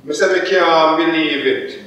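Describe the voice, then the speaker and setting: A man's voice: a little high, a little slow, a bit bright, a little hiss or hum, voice pushed up. A man reciting performance poetry as an anguished, incredulous poor Jamaican, rhythmic and dramatic, in a Jamaican accent. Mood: incredulous, lamenting. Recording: very echoey hall, distant muffled mic.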